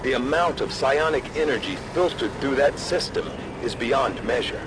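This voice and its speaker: A man's voice: medium pitch, animated, heavy background noise, close to an everyday voice. Man playing a formal analytical scientist. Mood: grave, intrigued. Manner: formal, deliberate. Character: measured, analytical male scientist.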